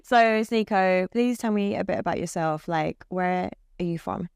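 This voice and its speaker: British woman host, kinda low voice, giggly and chatty, super dry close sound. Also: moderate pace, creaky, darkish tone, clear, resonant tone, no echo. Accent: British English. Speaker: interview host. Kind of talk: lighthearted interview.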